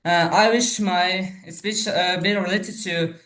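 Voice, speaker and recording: Brief: man, guest speaker, slow and halting, distant echoey video call audio. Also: sits high, steady tone, pushed and bright. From Afghanistan. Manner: slow, halting, many fillers. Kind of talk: unscripted online talk.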